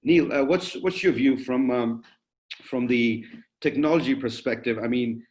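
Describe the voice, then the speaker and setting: A man moderating a panel discussion, putting wordy, polite questions to guests in a halting way. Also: mid-range voice.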